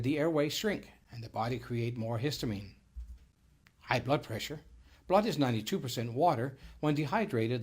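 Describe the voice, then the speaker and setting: A man's voice: mid-range voice, husky. A middle-aged or older man from Denmark with a Danish accent, narrating a scripted health sales lecture in a formal, emphatic way.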